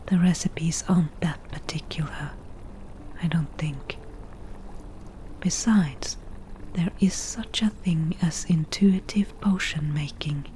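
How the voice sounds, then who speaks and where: A woman playing a crafty, slightly defensive forest witch in an ASMR roleplay, speaking in a soft breathy whisper with measured, dry delivery. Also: lowish voice, slight background noise. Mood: breezy, confident. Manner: slow, measured, matter-of-fact with dry asides. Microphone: close ASMR microphone.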